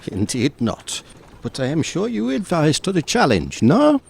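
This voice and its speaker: Man playing a grave, creaky-voiced vampire-hunting professor. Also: a little high, huge pitch swings, exaggerated voice. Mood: commanding. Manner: formal, instructing, deliberate phrasing. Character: commanding male professor and vampire hunter, older, grave. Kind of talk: audio drama acting. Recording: audible background noise.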